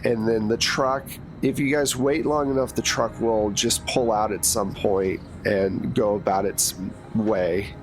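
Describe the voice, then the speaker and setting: Casual game master, noisy recording. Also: male voice, lowish voice, steady tone, a little slow. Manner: casual, loose narration with fillers. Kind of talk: running a tabletop horror game. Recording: noisy background hiss.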